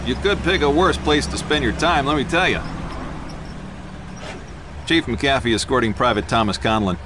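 An actor playing a folksy, wisecracking Navy chief with an American accent in casual video game dialogue. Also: male voice, mid-range voice, normal speed, close to an everyday voice. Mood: breezy, chatty. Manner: folksy, breezy. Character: chatty, wisecracking male Navy chief driving a newcomer. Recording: loud vehicle and outdoor noise. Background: car engine, outdoor ambience.

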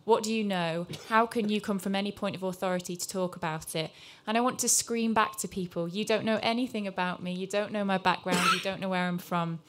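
Female panelist, journalist, candid and earnest, flat delivery, table mic. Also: mid-range voice, brisk, hardly any pauses, a little hiss or hum. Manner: earnest, candid, with frequent ums. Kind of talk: panel discussion remarks.

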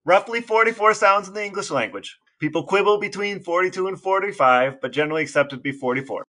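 A man's voice: sits high, fast, sharp tone. A man co-hosting a podcast, explaining things casually in a dry, matter-of-fact manner. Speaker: podcast co-host.

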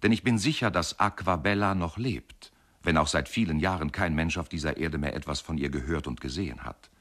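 An actor narrating a radio play as a wry, courteous older husband, measured and dryly ironic. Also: male voice, extremely low pitch, a bit bright. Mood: wry, reminiscing. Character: wry, courteous older husband reminiscing about his marriage. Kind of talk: radio play monologue, first-person narration.

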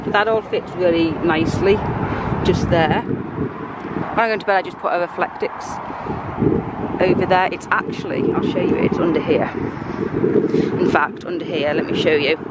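A British woman in her thirties giving a relaxed, chatty walkthrough of her car, filmed on a handheld camera. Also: fairly low pitch, a little slow, long pauses, very noisy. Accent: British English. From United Kingdom. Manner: chatty, relaxed, lots of fillers. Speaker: vlogger. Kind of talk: casual show-and-tell walkthrough. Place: inside and around a car, outdoors by the sea.

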